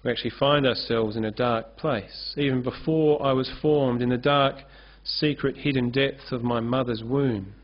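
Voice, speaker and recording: A pastor in his forties delivers an earnest sermon from a church stage, gesturing as he speaks into a lectern microphone. His voice sits at an ordinary middle pitch. The recording is somewhat dull and lo-fi. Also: fairly even intonation, moderate pace, few pauses. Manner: earnest, gestures freely while preaching at a lectern. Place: church stage with curtain backdrop. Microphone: lectern-mounted microphone.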